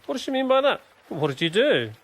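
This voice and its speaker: An actor playing a fond young corporal and father-to-be in a war radio drama, with a gentle, hesitant delivery. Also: very high voice, sing-song, brisk, full character voice. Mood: fond, wistful.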